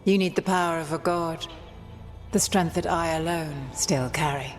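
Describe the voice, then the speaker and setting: An ancient elven goddess played by a woman with a lowish voice in a fantasy game. She is regal, wise and reproachful, with stately formal speech over prominent music. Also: normal speed, close to an everyday voice. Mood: knowing reproach. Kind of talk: dramatic video game acting.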